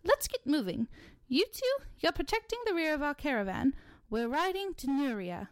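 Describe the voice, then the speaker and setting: A woman's voice: high-pitched, big pitch swings, moderate pace, dry recording. A woman playing an impatient, haughty elf leader with a commanding, melodramatic manner in a comedic fantasy audio drama. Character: imperious, impatient elf lady leading adventurers, female.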